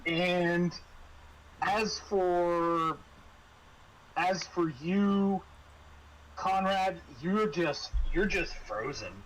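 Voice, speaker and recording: A man's voice: high voice, drawn-out words, long pauses, a bit bright, a little hiss or hum, rough recording. A man narrating a tabletop horror game as game master, in a conversational, descriptive storytelling way.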